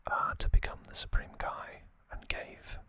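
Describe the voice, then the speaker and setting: A man giving an ASMR recap in a breathy, unvoiced whisper, speaking softly in short, deliberate phrases. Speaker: ASMR channel host.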